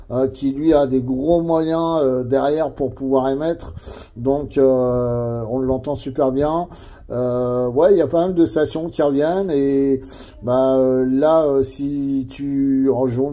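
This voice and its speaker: A man's voice: mid-range voice, mellow. A man chatting casually as a CB radio operator, hesitant and conversational, using radio jargon.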